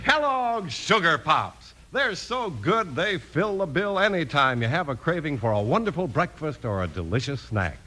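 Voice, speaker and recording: A man announcing in polished, animated 1950s radio style, like a sponsor's salesman. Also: on the high side, normal speed, hardly any pauses, music in the background.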